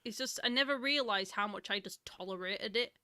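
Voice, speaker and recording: A woman co-hosting a casual chat with her husband, quick and teasing, often laughing. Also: medium pitch, bright, forceful tone, clean tone. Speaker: co-host, host's wife.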